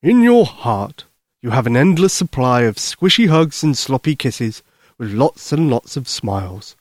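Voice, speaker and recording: A man voicing a jolly, kindly old Santa Claus in a bedtime story, slow, gentle and reassuring. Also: medium pitch, sing-song, dry recording, studio quality. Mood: gentle, confiding.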